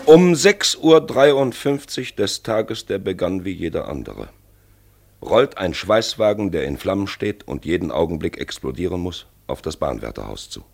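An actor playing a grave, urgent narrator in a 1950s radio drama, delivering short dramatic phrases. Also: male voice, low voice, sing-song, normal speed, gravelly, darkish tone, faint music behind, full character voice. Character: grave, urgent narrator of a looming railway disaster.

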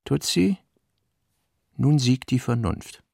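A grave man narrates a philosophical novel. His voice is mid-pitched with a gravelly creak, recorded close and dry. The delivery is measured and solemn. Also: warm tone, no room sound. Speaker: radio drama narrator. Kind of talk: literary radio play narration.

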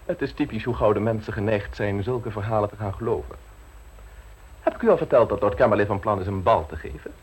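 An actor playing a smooth, self-assured scheming secretary in a radio play, teasing and unflappable, with a husky voice. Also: male voice, fairly low pitch, fast talker, poor audio. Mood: suave, scheming. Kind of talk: radio play acting in Dutch.

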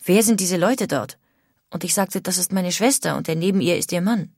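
An actress playing a woman emigrant who calmly recalls her arrival, read as part of a documentary radio play. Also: lowish voice, moderate pace, no echo, clean studio sound. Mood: recalling.

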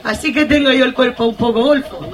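A woman playing a gossipy, excitable farmyard hen in a comic radio play. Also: fairly high pitch, fast talker, lightly acted, poor audio. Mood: cheeky. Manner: gossipy, exclamatory, colloquial. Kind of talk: comic radio theatre acting.

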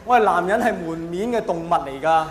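A man with a Hong Kong Cantonese accent performing a mock-earnest stand-up monologue with dramatic pauses and emphatic delivery. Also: high voice, lively intonation. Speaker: stand-up comedian. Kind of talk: stand-up comedy monologue to a live audience. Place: large indoor venue with live audience. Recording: echoey hall with audience noise. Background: audience laughter and crowd noise.

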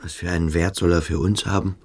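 A man's voice: deep voice, fairly even intonation, fast talker, darkish tone, breathy edge. A man playing a sensitive, inquisitive young military-school cadet in a German radio drama, speaking in a hesitant, probing way. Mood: anxious probing.

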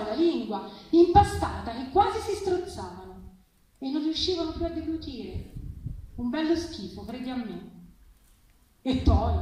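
A woman voicing a stubborn, patronizing old grandfather from a story, talking in a colloquial, insistent, dismissive way. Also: extremely high pitch. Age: older. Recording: very echoey, distant, faint noise.

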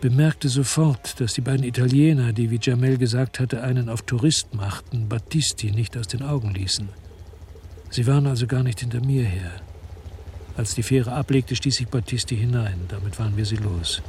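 An actor playing a weary, dogged French detective who narrates his noir radio crime story in a measured, laconic way. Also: mid-range voice, a little mellow, a little hiss or hum, lightly acted. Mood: weary, reflective. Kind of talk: first-person narration in a noir radio drama.

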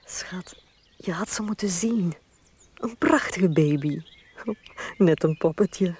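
A woman with a Flemish accent acting a gentle, adoring young wife of small stature, full of wonder, in a radio drama. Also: fairly low pitch, slow pace, husky, full character voice. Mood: tender awe.

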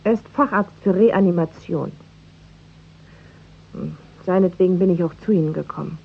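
A woman's voice: fairly low pitch, moderate pace, vocal fry, slightly rough, narrow, tinny audio. A woman acting an earnest, determined daughter of a missing scientist in a 1960s German radio play, speaking insistently.

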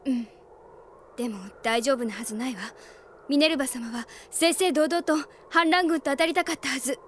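A woman's voice: sits high, brisk, slight background noise, lightly acted. An actress playing a dutiful, worried young woman knight with an earnest, girlish delivery in a radio drama. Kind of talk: Japanese radio drama acting.

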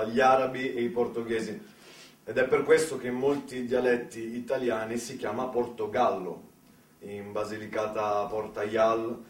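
Slow, wry man in an echoey room. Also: on the low side. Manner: hesitant, conversational, wry. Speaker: solo stage performer. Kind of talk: theatrical comic monologue. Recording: roomy, distant stage pickup.

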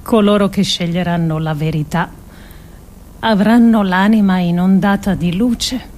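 A woman performing a scripted dramatic monologue for radio in a deliberate, measured way with pointed pauses. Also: medium pitch, darkish tone, a little hiss or hum. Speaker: actress reading an adapted essay.

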